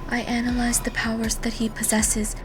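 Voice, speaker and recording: A woman playing a quiet, emotionless alien girl in an audio drama, speaking softly in a clinical deadpan. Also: on the high side, normal speed, noisy background, lightly acted. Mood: detached, flat. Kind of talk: audio drama character acting. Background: music under the voice.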